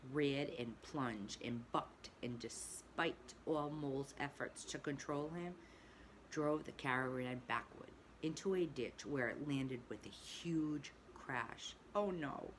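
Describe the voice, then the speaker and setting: A woman's voice: extremely low pitch, slow, slight background noise, poor audio. A woman who teaches preschool, reading a storybook aloud expressively and adding asides to the children. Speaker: pre-K teacher.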